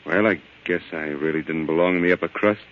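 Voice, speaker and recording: A man's voice: low-pitched, fast talker, natural voice. A man playing a weary, troubled husband in a 1940s American radio drama, speaking in a subdued, hesitant, polite way. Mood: rueful. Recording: muffled old-time radio recording.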